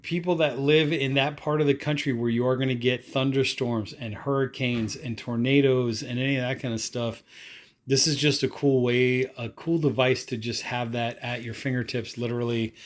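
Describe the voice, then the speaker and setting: Man, product review host, mid-pitched voice, casual, unscripted, rambling, narrates his actions as he goes. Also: fairly even intonation, moderate pace. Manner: rambling, informal, talking through actions aloud.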